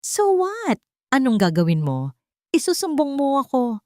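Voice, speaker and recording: A woman's voice: high voice, huge pitch swings, measured pace, a little mellow, dry recording, studio quality, voice pushed up, full character voice. A woman voicing an arrogant but rattled rich male schemer in an audio drama story. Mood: defiant.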